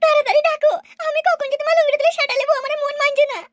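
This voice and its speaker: A woman's voice: very high-pitched, steady tone, racing. A woman voicing a brash, vain and quarrelsome village woman in a cartoon, insistent and boastful. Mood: gushing. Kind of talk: cartoon dialogue. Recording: noisy track with clicks.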